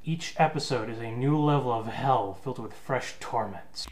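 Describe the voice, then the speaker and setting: A man's voice: medium pitch, normal speed. A man giving sarcastic review commentary, wry and wordy in his complaints. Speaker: comic reviewer.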